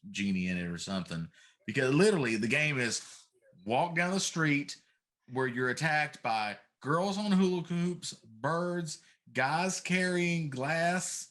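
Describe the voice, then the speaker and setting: Middle-aged man, talk show host, medium pitch, emphatic griping, casual chat. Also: a bit bright. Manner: ranting, emphatic complaining. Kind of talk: casual chat about video games.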